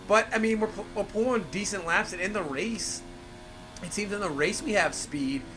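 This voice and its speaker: A man's voice: high-pitched, normal speed, poor audio. A man with a cold, rambling through casual gameplay commentary and leaning on fillers like "obviously" and "for sure". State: has a cold. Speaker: gaming channel host.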